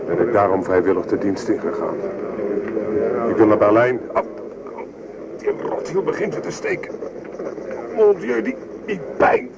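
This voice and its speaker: A man's voice: on the low side, animated, measured pace, creaky, pauses often. An actor playing a French army man on the march in a radio drama. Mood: weary complaining. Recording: muffled, noisy old radio recording.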